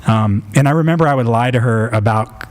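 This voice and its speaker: A man's voice: mid-range voice, a little fast. A man in his late thirties hosting a podcast, chatting casually and telling personal stories in an informal, self-deprecating way. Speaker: podcast host.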